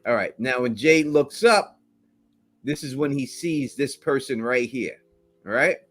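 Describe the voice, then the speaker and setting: A man's voice: medium pitch, animated, measured pace, a bit bright. A man co-hosting a casual podcast, talking emphatically and repeating himself as he pushes his theories. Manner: emphatic, repetitive, insistent theorizing. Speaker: co-host breaking down a trailer.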